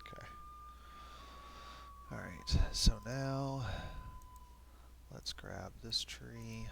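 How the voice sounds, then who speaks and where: A man's voice: mid-range voice, extremely slow, gravelly, slight background noise. A man live-streaming alone at his desk mic, rambling and thinking aloud with many fillers, a bit sniffly. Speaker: streamer making battle maps. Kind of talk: casual live-stream talk to himself. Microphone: desk microphone. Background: quiet background music.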